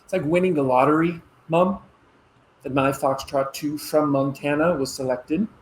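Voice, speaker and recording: Man acting an eager, bright-pitched soldier son. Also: fairly even intonation, moderate pace, slight background noise, lightly acted, poor audio. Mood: eager, proud. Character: eager adult son, young Air Force missile officer, placating. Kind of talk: naturalistic stage-play dialogue.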